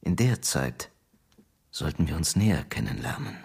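An actor playing a middle-aged male Soviet secret police chief in a radio drama, genial and persuasive, confiding with quiet menace. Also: extremely low pitch, very animated, moderate pace, gravelly, breathy edge, exaggerated voice. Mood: genial, assured.

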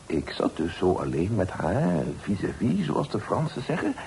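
Elderly gentleman poet, radio play. Deep, very animated, wordy and hesitant; faint hiss and rumble on the recording. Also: moderate pace, gravelly, exaggerated voice. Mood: confiding, self-satisfied. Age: older. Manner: hesitant, stammering, florid turns of phrase. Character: flustered old gentleman poet, wordy, deferential, self-important.

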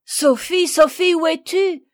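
A woman voicing a frightened but brave boy in a storybook, shouting urgently. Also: extremely high pitch, slow, dry recording, clean studio sound, voice pushed up. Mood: frantic, frightened.

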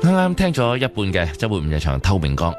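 A man's voice: lowish voice, wildly swooping pitch, quick pace. A man with a Hong Kong Cantonese accent presenting late-night radio continuity and weather. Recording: studio voice over music. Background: music.

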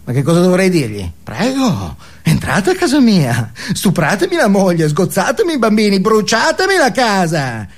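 Agitated, self-righteous family man, comic theatre monologue. High-pitched, wildly animated delivery, foul-mouthed, nags for agreement. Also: moderate pace, hardly any pauses, heavily acted. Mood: defensive, menacing. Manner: agitated, swearing, punctuates with "no?" and "eh?". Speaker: stage actor performing a monologue.

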